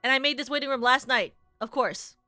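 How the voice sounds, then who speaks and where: Chatty young woman streamer, bright voice. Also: high-pitched, brisk, no room sound, faint music behind. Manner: chatty and rambling, playful teasing. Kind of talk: casual livestream chat.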